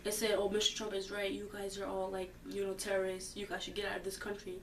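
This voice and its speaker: A woman's voice: medium pitch, completely flat delivery, quick pace, fairly bright tone, echoey room, far from the mic, a little hiss or hum. A fifteen-year-old girl answering interview questions casually, hesitant and filler-heavy but growing emphatic. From born in the United States. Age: teens. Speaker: high school student interviewee.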